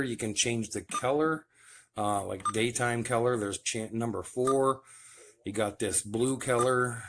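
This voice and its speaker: A man giving a casual, hands-on demonstration as the host of a home video. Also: mid-range voice. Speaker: host demonstrating CB radio gear. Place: at home by a radio base station. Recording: clear background noise, clicks.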